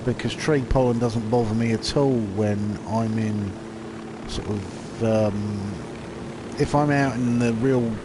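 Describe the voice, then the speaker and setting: Slow, nasal man livestreaming. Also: fairly low pitch. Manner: hesitant, many ums and restarts. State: nasal, bunged up from hay fever. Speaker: livestreamer playing a video game. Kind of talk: casual rambling commentary over gameplay. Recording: game sounds and vehicle noise behind. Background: video game audio with vehicles.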